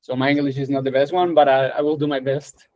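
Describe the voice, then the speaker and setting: Colombian man, hesitant, flat delivery. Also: medium pitch, fast, highs cut off. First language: Spanish. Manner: hesitant, many filler words. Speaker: course student. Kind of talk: video call introduction. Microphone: video call.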